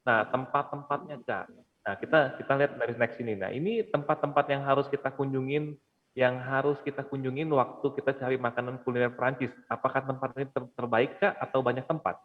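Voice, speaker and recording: A man's voice: mid-range voice, steady tone, a little fast. An upbeat man hosts a chatty online show. His voice comes through a thin, phone-like line, and a long reverb trails it. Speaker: talk show host. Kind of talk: casual chat. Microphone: video call.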